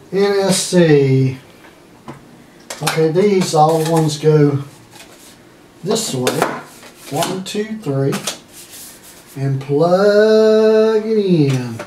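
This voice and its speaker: Older man muttering slowly, far from mic. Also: fairly high pitch. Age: older, around 60s. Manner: thinking aloud in short fragments while handling an object. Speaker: hobbyist demonstrator testing old electronics. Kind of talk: casual, muttered hands-on demonstration. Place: indoor room at home, seated at a wooden table. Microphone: camera mic a few feet away. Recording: roomy, distant mic, noisy with handling clicks.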